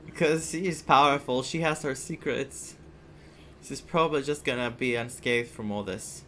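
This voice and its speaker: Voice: medium pitch, moderate pace, a bit bright, a little hiss or hum. A game streamer giving casual playthrough commentary, thinking aloud and reacting to the story. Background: game music.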